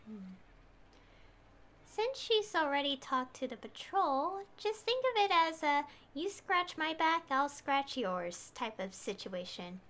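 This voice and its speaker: A woman's voice: high voice, very animated, slow pace, a bit bright. A woman streaming a game, giving casual commentary and reading dialogue aloud.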